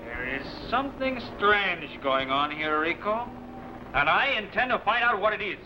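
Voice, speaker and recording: A man's voice: sits high, animated, normal speed. An actor playing a stern, suspicious Spanish sailor who commands his fellow plotters in an old adventure serial. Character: stern conspiring Spanish sailor, leader of mutineers. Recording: muffled old soundtrack, heavy background noise.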